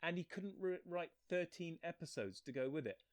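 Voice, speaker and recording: A man's voice: fairly high pitch, normal speed, noisy background. Blunt, sweary man chatting casually. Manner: animated griping, blunt and sweary. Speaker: guest, fan-fiction author. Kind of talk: casual, opinionated chat.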